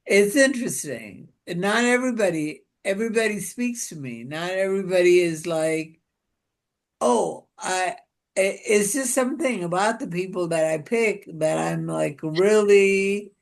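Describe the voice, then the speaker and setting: A woman's voice: medium pitch, lively intonation, vocal fry. A woman from Pakistan hosting a casual interview over a video call, talking in a musing way with many restarts. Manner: slow and musing, with many restarts and "you know". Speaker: interviewer and show host.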